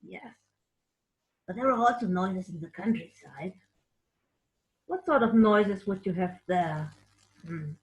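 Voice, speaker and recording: A woman reads a story for children at an unhurried pace. She is expressive and animated, and pauses to explain things and chat playfully with a puppet. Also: mid-range voice, poor audio. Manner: animated, explanatory, makes playful sound effects.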